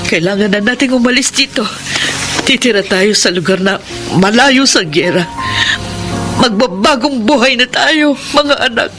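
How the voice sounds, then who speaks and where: A woman's voice: fairly high pitch, animated, normal speed, close to an everyday voice. A woman playing an emotional, protective mother and wife in a melodramatic radio drama. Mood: weary, reassuring. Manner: emotional, pleading. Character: anguished, protective young mother and wife in wartime. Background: music.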